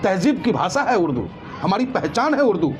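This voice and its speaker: A man's voice: sits high, sing-song, moderate pace, music in the background, a crowd in the background. A man giving impassioned opinions as an interview guest, emphatic and indignant, full of rhetorical questions.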